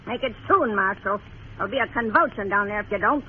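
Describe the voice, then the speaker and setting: A woman's voice: mid-range voice, quick pace, lightly acted. An actress playing a brusque, weary frontier woman of about fifty, plain-spoken and folksy, in a radio western. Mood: brusque pleading. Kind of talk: 1950s radio western acting. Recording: tinny noisy old radio recording.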